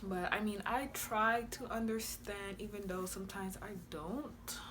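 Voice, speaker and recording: A woman's voice: medium pitch, fairly even intonation, a little slow, noisy background. A young woman from Honduras vlogging casually to camera in her room, chatty, with many fillers and frequent laughs. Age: young adult. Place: bedroom with a flag behind her.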